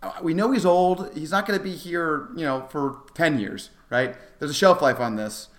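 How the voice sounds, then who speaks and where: A man co-hosting a casual sports podcast, talking in an enthusiastic, emphatic way. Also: on the high side. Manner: enthusiastic, emphatic, quick to laugh. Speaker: podcast co-host.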